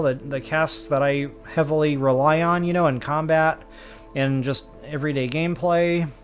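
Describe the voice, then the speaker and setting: Higher-voiced man doing game commentary. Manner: chatty, addresses viewers informally. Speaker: gaming streamer. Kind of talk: casual video game walkthrough commentary.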